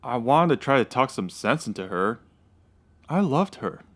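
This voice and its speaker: A man's voice: mid-range voice, very animated, moderate pace, fairly bright tone. A man playing a dejected, lovesick suspect under questioning in a radio drama, plainspoken and unsure. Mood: earnest, aggrieved.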